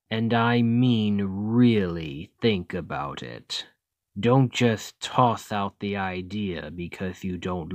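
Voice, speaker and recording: Demon king villain for ASMR roleplay, male actor: low voice, very unhurried delivery, condescending, taunting, persuasive. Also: dry recording, full character voice. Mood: persuasive, goading. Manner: slow, deliberate, condescending, taunting. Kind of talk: ASMR roleplay, scripted acting addressed to listener.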